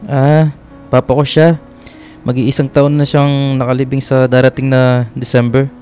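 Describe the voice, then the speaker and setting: A man's voice: mid-range voice, little pitch movement, a little slow, mellow, faint music behind, natural voice. A man playing a polite, shy and friendly young Filipino man in a radio love drama, hesitant and apologetic. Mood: subdued.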